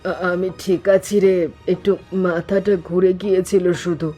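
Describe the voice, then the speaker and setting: An elderly grandmother from a family cartoon, played by a woman. She is gentle, ailing and doting with her family. Music plays behind her. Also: fairly low pitch, level tone, normal speed, close to an everyday voice. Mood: weak, reassuring. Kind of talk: animated family story dialogue.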